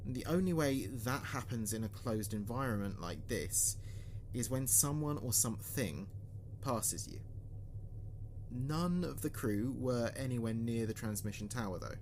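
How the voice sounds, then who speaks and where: A man playing an earnest, uneasy spaceship communications officer, recording a halting, deliberate mission log in a sci-fi audio drama. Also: mid-range voice, a little mellow, close to an everyday voice. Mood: awed, unsettled. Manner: halting, deliberate, pausing mid-sentence. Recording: clear background noise and ambience.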